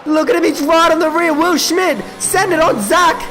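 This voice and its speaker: High-voiced young man, voice cracking. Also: soft background music. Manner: excitable, quick calls that rise at overtakes. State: voice giving out, cracking. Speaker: esports race commentator. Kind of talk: live play-by-play race commentary.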